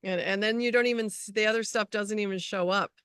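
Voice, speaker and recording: Female talk host, average pitch, dry sound, affirming and conversational with halting delivery. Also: fairly even intonation, fairly bright tone, clear, resonant tone, no room sound. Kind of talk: casual chat.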